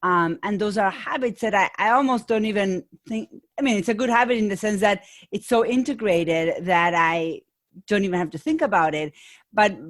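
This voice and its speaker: Argentine-accented woman coach, thoughtful. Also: medium pitch. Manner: thoughtful, restarts often, light laughs. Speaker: guest, business coach. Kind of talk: conversational interview.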